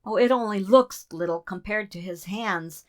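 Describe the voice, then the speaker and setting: A woman's voice: medium pitch, moderate pace, lightly acted. A woman playing a curious, practical colleague in a radio drama, inquisitive and conversational. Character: curious, practical female colleague wondering aloud. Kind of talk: radio theater acting.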